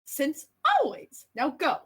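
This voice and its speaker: A woman's voice: high voice, expressive, slow, a little hiss or hum. A woman playing a bossy, nagging, commanding wife in a radio play. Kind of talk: radio theatre acting.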